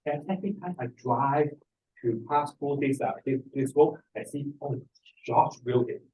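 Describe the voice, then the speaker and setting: A man's voice: medium pitch, darkish tone. Distant-miked Hong Kong man giving a seminar. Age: middle-aged or older. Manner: halting, repeats words, hesitant phrasing. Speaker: feng shui master, seminar speaker. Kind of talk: informal seminar presentation. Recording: distant microphone in a room.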